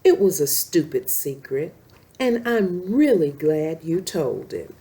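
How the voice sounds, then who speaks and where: A woman voicing a sincere young female lion cub in a storybook, with drawn-out, emphatic speech. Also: low voice, very animated, mellow, exaggerated voice. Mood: relieved.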